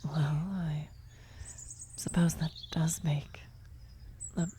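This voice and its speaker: A woman acts out a worried female vampire in a medieval fantasy ASMR roleplay. Her low voice is soft and mellow, often slipping into a whisper. She speaks haltingly and gently, with faint birdsong and nature ambience behind her. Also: little pitch movement, slight background noise. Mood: surprised, uneasy. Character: worried female vampire blood sorcerer, tender, guilty lover. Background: birdsong, nature ambience effects.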